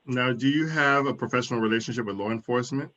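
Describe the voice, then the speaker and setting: A man hosting a conversational political interview in a courteous, deliberate way. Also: mid-range voice, sharp tone, lo-fi sound. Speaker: interviewer, moderator.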